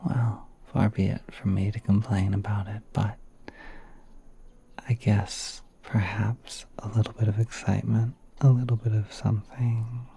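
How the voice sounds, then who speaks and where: A very mellow, breathy spider prince played by a man murmurs in a soothing ASMR roleplay. His delivery is low and even, often dropping to a whisper. He is courteous and a little shy, musing hesitantly as he talks. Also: a little hiss or hum. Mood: welcoming, musing. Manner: hesitant, polite, musing, unhurried. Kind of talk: ASMR roleplay, soft-spoken monologue.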